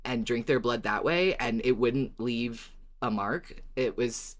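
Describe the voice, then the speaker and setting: Man, podcast co-host, medium pitch, casual conversation, easily amused. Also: takes it slowly.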